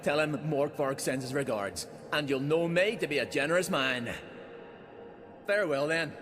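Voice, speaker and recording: A man's voice: on the high side, animated, normal speed, fairly bright tone. An actor playing a boastful, grandiose pirate in a fantasy video game, pompous and imperious in his delivery. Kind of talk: video game cutscene dialogue. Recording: long echoing reverb on the voice.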